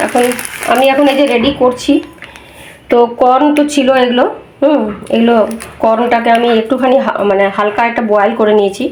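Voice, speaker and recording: High-voiced Indian Bengali woman vlogging at home. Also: steady tone, fairly quick. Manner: chatty, repeats phrases. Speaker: family vlogger. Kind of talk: casual home vlog chat. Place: indoors at home. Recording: roomy echo, loud household background noise.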